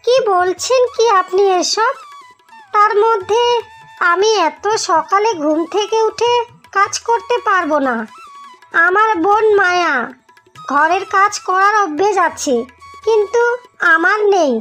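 A sly young wife in a storybook cartoon with a very high, bright, sharp voice, as a woman acts her out. She speaks with a sweet, knowing edge over faint music. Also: measured pace, long pauses, exaggerated voice. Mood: rude. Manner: sly, sweet-talking, then rude and lazy. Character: young wife, one of twins, scheming and sharp. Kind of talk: dubbed cartoon voice acting.

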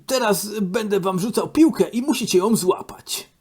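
A man voicing a bossy, upbeat male sports coach who shouts out counts and barks orders in an amateur cartoon dub. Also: high voice, normal speed, breathy edge. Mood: coaxing.

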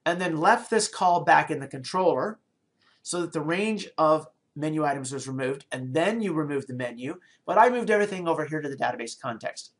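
A middle-aged man teaches programming over a screencast. He talks from a small office into a desk webcam. He explains each step aloud and often pauses, restarts or adds fillers as he thinks. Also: a little high, lively intonation. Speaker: programming lecturer. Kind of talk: screencast coding tutorial.

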